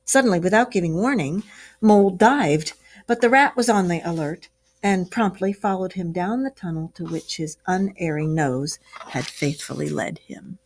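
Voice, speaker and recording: A woman's voice: lowish voice, moderate pace, slight background noise. A woman teacher reading a story aloud to children and explaining it expressively, asking the class questions. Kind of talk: read-aloud lesson for children.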